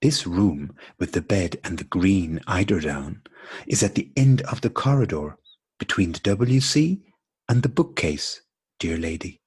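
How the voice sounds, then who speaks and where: Man playing courteous, bemused husband, low and husky. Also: expressive, a little mellow, full character voice. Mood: bemused, deliberate. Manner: formal, deliberate, repetitive politeness. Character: courteous, bemused married man piecing together a coincidence. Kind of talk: absurdist stage dialogue played as radio drama.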